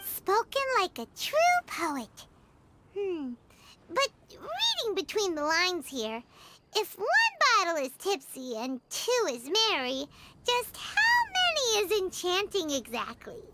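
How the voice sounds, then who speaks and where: A woman voicing a tiny, bubbly fairy companion with a squeaky childlike voice in an anime-style video game. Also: slow, noisy background, exaggerated voice. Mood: teasing, sly. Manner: excitable, teasing. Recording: music behind the voice.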